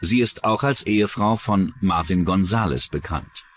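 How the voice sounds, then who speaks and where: A man's voice: deep voice, normal speed, a bit bright, faint music behind. A man narrating a scripted true-crime documentary.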